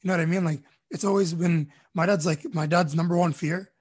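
A man with a husky, slightly high voice hosts a casual conversation. He talks earnestly and at length, often restarting his sentences. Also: fairly even intonation, fairly quick, darkish tone. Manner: earnest, chatty, many restarts.

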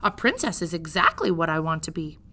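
A woman reading a storybook as a gullible young serving girl with rustic phrasing and loud exclamations. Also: sits low, wildly swooping pitch, a little fast, heavily acted. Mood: eager, wishful.

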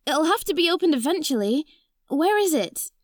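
A woman's voice: high-pitched, big pitch swings, normal speed, no echo, clean studio sound. A female performer playing a caring, inquisitive young girl who takes charge, in a children's audio drama. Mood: concerned, reassuring.

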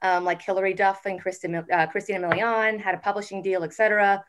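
A woman in her forties, a guest on a casual podcast interview, talking in a chatty, upbeat way with many "um" pauses. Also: mid-range voice, fairly even intonation, fairly bright tone. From United States. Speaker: guest, TV network executive.

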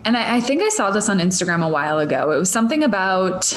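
A woman's voice: mid-range voice, big pitch swings, fast, slight background noise. A woman in her thirties from Minnesota, with a Minnesotan accent, hosting a solo podcast in a rambling, stream-of-consciousness way with laughing asides. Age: 30s. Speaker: podcast host. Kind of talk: casual solo podcast monologue.

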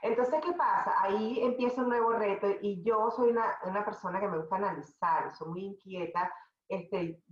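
A middle-aged Venezuelan woman, a podcast guest, telling her career story in a conversational, animated way with many restarts. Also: medium pitch, reverberant, lo-fi sound. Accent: Venezuelan Spanish. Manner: animated, talks in restarts and asides.

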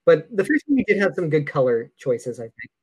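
A man with a somewhat high voice banters about films as a podcast co-host. He is casual and jokey, dropping in mock-indignant asides. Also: sing-song, a little fast, husky.

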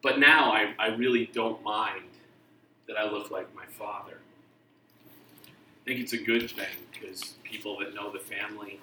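A man's voice: on the low side, fairly even intonation, measured pace, recorded from a distance, background noise. A middle-aged man from Texas, his accent mostly faded, preaching a sermon as a guest pastor with a conversational, self-deprecating humor. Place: church sanctuary. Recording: roomy church sound from off-mic.

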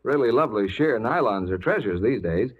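A man's voice: medium pitch, animated, fairly quick, breathy edge. A man working as announcer, delivering scripted 1940s radio advertising patter. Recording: muffled, slightly noisy 1940s radio recording.

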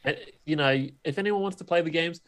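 A man's voice: on the high side, a little fast, fairly bright tone. A man co-hosting a podcast, chatting casually about movies and games. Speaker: podcast co-host. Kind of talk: casual movie and game chat.

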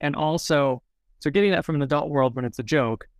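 A man's voice: a little high, a little fast, clean tone, no echo, clean studio sound. A man talking casually as a co-host in a literary discussion.

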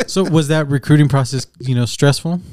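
A man's voice: on the high side, a little fast, a little mellow, dry recording. Close, dry-sounding man bantering on a podcast. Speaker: podcast producer and co-host. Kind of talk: casual, bantering podcast chat.

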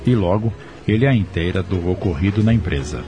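A man's voice: deep voice, expressive, fairly quick, over music. A man narrating and announcing a scripted radio soap opera.

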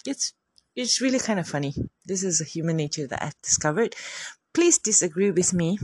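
A woman's voice: on the low side, animated, normal speed, long pauses, darkish tone, breathy edge. A middle-aged woman with a Malaysian English accent, talking casually to camera in a chatty, self-deprecating way. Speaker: YouTuber.

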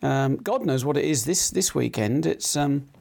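A middle-aged man with a croaky throat, hosting a casual chat show, rambling with many fillers and restarts. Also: mid-range voice, expressive, moderate pace, darkish tone.

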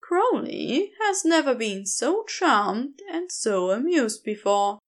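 Slow, high-voiced, expressive woman narrator. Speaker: audiobook narrator. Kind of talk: expressive scripted story narration.

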